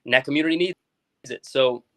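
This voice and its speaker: A young man from South Florida speaks as a guest on a local talk show. He rambles casually, trips over his own words and restarts often. His voice sits at an ordinary middle pitch. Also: fast talker, sharp tone. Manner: rambling, many restarts and fillers. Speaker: school board member guest.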